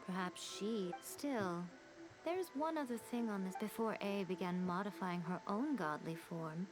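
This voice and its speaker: A woman voicing a sly, poised, playfully vain fox spirit shrine maiden in a video game, measured and formal with a teasing manner. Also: mid-range voice, natural voice, rough recording. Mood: composed, explanatory. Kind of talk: video game character dialogue. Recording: game music behind the voice. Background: game music.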